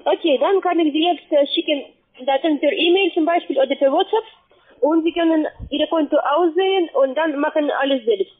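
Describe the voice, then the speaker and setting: Female call-center agent, high voice, foreign accent, non-native broken phrasing, halting scripted pitch, tinny phone audio. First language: not German. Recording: tinny, narrowband phone line.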